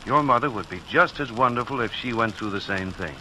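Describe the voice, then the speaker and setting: A man's voice: medium pitch, expressive, a little fast, bright, forceful tone. An actor playing a fond, earnest middle-aged family father in a 1940s radio drama. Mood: fond, teasing. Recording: hissy, noisy 1940s radio recording.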